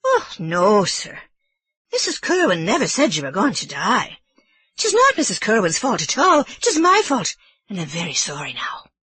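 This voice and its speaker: A woman's voice: medium pitch, huge pitch swings, moderate pace, a bit bright, slightly rough, dry recording, voice pushed up, full character voice. A woman voicing an anxious elderly housekeeper woman with an Irish brogue, apologetic and deferential. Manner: apologetic, deferential, sir-ing constantly.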